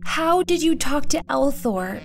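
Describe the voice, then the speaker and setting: A woman playing a flustered, lovestruck young market girl in an audio drama, halting and gushing. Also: high voice, lively intonation, gravelly, faint music behind, full character voice. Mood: flustered, smitten.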